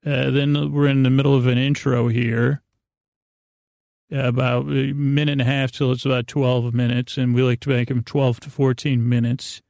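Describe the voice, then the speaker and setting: A man hosting a bedtime podcast in a creaky voice, meandering through a goofy, self-deprecating monologue with halting, trailing sentences. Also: mid-range voice, flat delivery, darkish tone, no room sound, clean studio sound. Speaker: podcast host.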